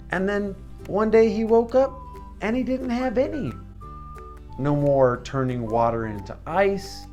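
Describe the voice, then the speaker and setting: A man's voice: fairly high pitch, a little mellow. A man hosting a children's storytime, talking casually and reflectively to young viewers in an encouraging, questioning way. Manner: encouraging, asks the listener questions.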